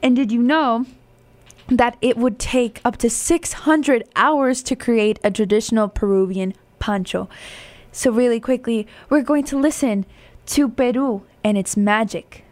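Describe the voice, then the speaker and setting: A woman's voice: on the high side, takes it slowly, a little mellow, no echo. A woman co-hosting a cultural program, presenting facts in Spanish and English in an upbeat manner. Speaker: co-host. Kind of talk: bilingual presenting.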